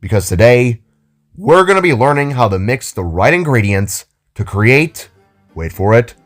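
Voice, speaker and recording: A man's voice: medium pitch, sing-song, moderate pace, soft background music, close to an everyday voice. A man voicing an older, kindly and enthusiastic robot teacher with a theatrical, explanatory way of talking. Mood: playful, eager. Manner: showy, explanatory.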